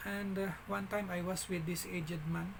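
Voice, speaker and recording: A man with a Filipino accent telling a story in a casual vlog, halting, with frequent restarts and fillers. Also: high voice, little pitch movement, creaky, background noise. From Philippines.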